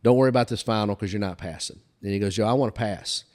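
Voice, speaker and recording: A man's voice: fairly low pitch, fast talker, a little mellow. An older man telling anecdotes in a casual, folksy way as a guest, often recounting conversations. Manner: folksy, recounts dialogue back and forth. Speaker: podcast guest telling career stories.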